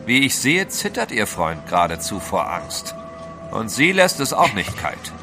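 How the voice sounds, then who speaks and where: An actor in a German radio drama playing a resolute, level-headed gentleman adventurer, articulate and commanding. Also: lowish voice, sing-song, unhurried, music in the background. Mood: firm, composed.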